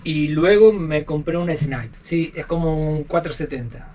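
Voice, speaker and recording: A man with an Argentine accent telling stories as a podcast guest, talking in a halting way and repeating words. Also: on the high side, darkish tone, distant mic, a little hiss or hum. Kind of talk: casual storytelling interview. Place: aboard a sailboat.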